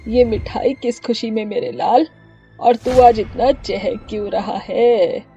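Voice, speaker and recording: A woman's voice: fairly high pitch, slow pace, dark tone, soft background music. A woman voicing a hardworking, affectionate mother-in-law who sells coconut water in a story, proud and motherly, on muffled audio. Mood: fond, teasing.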